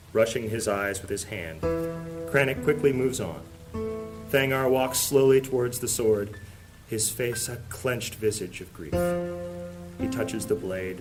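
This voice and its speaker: Low-voiced solemn male fantasy narrator. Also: low-quality recording. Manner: solemn, dramatic storytelling. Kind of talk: scripted fantasy audio drama narration. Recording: loud guitar music under voice.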